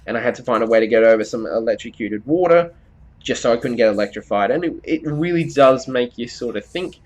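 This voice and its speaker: A man's voice: medium pitch, expressive, fairly quick, a little mellow. A man giving a conversational, explanatory video game review as commentary over gameplay.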